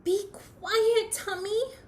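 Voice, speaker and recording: A woman's voice: high voice, animated, takes it slowly, pushed and bright, full character voice. A woman voicing a cranky, dramatic, hungry young male bird in a whiny, demanding way for a children's storybook. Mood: whining, desperate. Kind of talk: storybook read-aloud for preschoolers.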